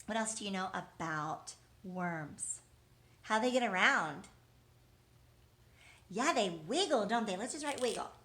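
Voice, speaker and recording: A woman's voice: medium pitch, lively intonation, measured pace, long pauses, a bit bright. A woman teaching young children in an encouraging way, asking questions, answering them as if replying to the children and repeating key words. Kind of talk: interactive lesson for young children.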